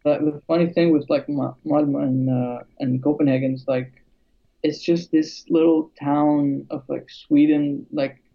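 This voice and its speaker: A man from Albania chats about his music-production work in a gravelly, somewhat higher voice. He talks hesitantly, with many fillers and restarts. The recording sounds dull and lo-fi. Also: steady tone, unhurried. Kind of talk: casual conversation.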